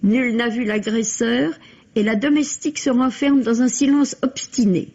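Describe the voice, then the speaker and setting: A woman delivers a formal, scripted book review for radio. Her voice is fairly high and husky, and the recording is dull and lo-fi, like an old broadcast. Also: steady tone, normal speed, darkish tone. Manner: literary, formal phrasing.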